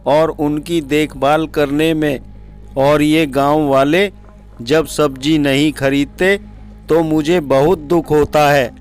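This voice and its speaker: A man's voice: fairly high pitch, flat delivery, slow, clear, resonant tone, faint music behind. A man voicing a weary, complaining village farmer in a cartoon story, plaintive and burdened. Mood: worried complaining. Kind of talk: Hindi cartoon story dialogue.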